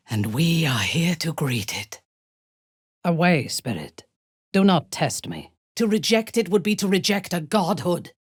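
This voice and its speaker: Imperious female dark elf warlord for a fantasy game: slow, husky delivery, coldly menacing, ruthless and formal. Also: sits low, dry recording, clean studio sound, exaggerated voice. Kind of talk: video game character dialogue.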